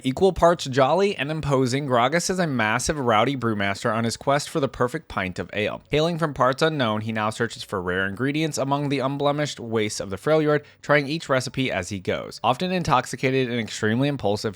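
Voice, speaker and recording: Male reaction host, mid-range pitch, informal and relaxed delivery for commentary and read-alouds, dry close-mic sound. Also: no room sound.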